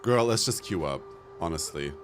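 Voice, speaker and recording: A man's voice: low-pitched, lively intonation, moderate pace. A man chatting casually and slangily as he streams a game. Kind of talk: casual livestream chat while gaming. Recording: loud background music. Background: music.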